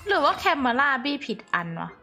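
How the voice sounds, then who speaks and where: A woman chatting casually and excitably as she live-streams a game. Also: high-pitched, racing, soft background music.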